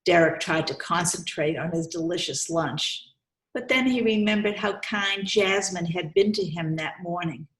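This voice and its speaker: A woman reading a storybook aloud to young children, expressive and coaxing, stopping to put questions to her listeners. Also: on the low side, moderate pace. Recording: roomy, echoey sound.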